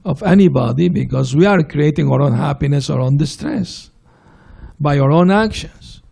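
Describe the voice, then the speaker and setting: A man gives a spiritual talk in a temple hall with a gravelly, mellow voice that sits a little high. He answers questions gently and reassuringly. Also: expressive, normal speed. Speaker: swami giving a class. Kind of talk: Q&A after a scripture class.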